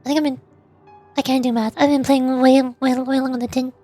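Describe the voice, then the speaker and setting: A woman's voice: sits high, flat delivery, fairly quick, mellow, faint music behind. A woman livestreaming a game, chatting casually in an informal, rambling way. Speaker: VTuber game streamer.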